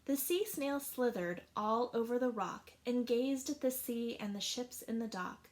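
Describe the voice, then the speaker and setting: Woman teacher, storybook read-aloud, high-ish voice, slow deliberate pace. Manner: slow, expressive rhyming narration. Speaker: teacher reading a picture book aloud.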